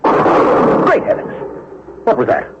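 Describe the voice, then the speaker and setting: An actor playing a stuffy, suspicious police inspector in an old radio drama, with an official, blustering manner. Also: male voice, slow pace, close to an everyday voice. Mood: puzzled. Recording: dull, noisy vintage radio recording.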